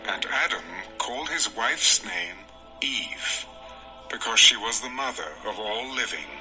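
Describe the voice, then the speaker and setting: A man narrating scripture from a script in a measured storytelling manner. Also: on the low side, expressive, pushed and bright, faint music behind, poor audio.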